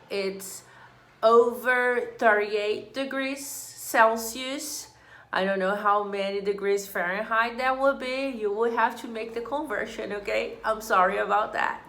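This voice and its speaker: A woman explains English grammar to her students, going slowly and patiently. She says each example sentence twice in a deliberate, measured voice. Her voice carries a noticeable echo from the room. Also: a little high, a bit bright. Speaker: English teacher. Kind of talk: slow, deliberate classroom explanation. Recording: echoey room.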